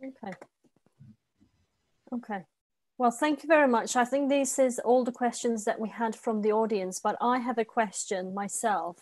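A woman's voice: on the high side, steady tone, normal speed, a little mellow, dry recording. A woman in her forties hosting a live science interview over a video call, asking polite, carefully phrased questions. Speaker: interviewer, host. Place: home room. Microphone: video call webcam.

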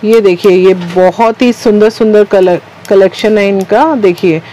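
A woman's voice: mid-range voice, fairly even intonation, a little slow, dark tone, poor audio. A woman hosting a shopping vlog, presenting wholesale goods in a repetitive patter of stock phrases. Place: small wholesale market shop, indoors.

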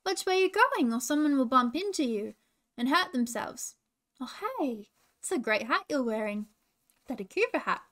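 A friendly kangaroo pal from a children's storybook, played by a woman, pitched on the high side. The character is curious and frank, asking questions and offering helpful explanations. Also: expressive, normal speed, fairly bright tone. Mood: friendly warning.

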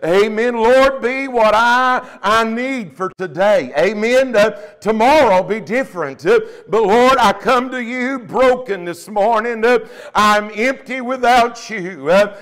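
A man's voice: very high-pitched, slow, few pauses, fairly bright tone. A middle-aged man, preaching a Pentecostal sermon emphatically and punctuating it with amens. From United States. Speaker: pastor. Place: small church, at the pulpit indoors. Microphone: lapel mic.

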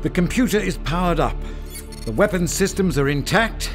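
A man playing an excitable professor in a space adventure audio drama. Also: sits high, normal speed. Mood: urgent. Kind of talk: sci-fi audio drama acting. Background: music.

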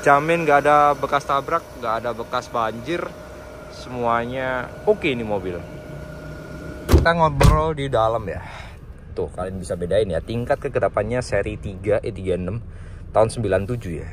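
A man's voice: mid-range voice, moderate pace. A man giving an informal, slangy, enthusiastic walkaround sales talk on a car. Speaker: car seller presenting a used car. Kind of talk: casual walkaround review to camera. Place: outdoors by a car and inside it. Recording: clear outdoor and car background noise.

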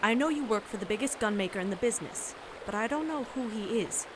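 A woman's voice: fairly high pitch, expressive, fast, background noise, lightly acted. A woman playing a cold, menacing interrogator in a radio noir drama, speaking curtly. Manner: curt, threatening.

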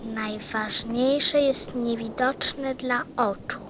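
A woman playing an innocent, earnest little boy prince in an audio play, gentle and thoughtful. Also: on the high side, fairly even intonation, extremely slow, background noise.

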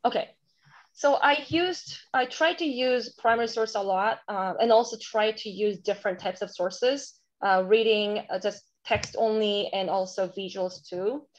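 A woman from South Korea with a Korean accent, presenting a curriculum to teachers on a webinar, halting with many fillers and restarts. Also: medium pitch. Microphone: video call. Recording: dull lo-fi webinar audio.

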